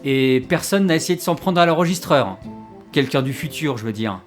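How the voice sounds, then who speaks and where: A man's voice: a little high, animated, moderate pace, soft background music, close to an everyday voice. A man playing a gloomy, wry time traveller in an audio drama, easily exasperated and given to hesitant explanations. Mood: inquisitive. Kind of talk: French audio drama acting.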